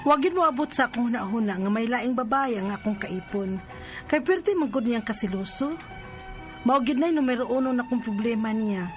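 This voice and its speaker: A high, plaintive female voice from an actress plays a betrayed partner and mother in a radio drama. She is emotional and anguished, with music running underneath. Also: expressive, normal speed, close to an everyday voice. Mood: troubled. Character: anguished betrayed live-in partner, jealous-hearted young mother. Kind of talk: Filipino radio drama acting.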